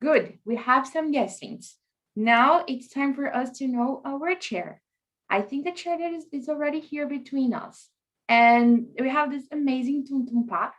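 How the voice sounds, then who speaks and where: A woman's voice: high voice, measured pace. A woman hosting an online conference opening, chatty and enthusiastic, drawing the audience in with questions over a video call. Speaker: conference chair and host.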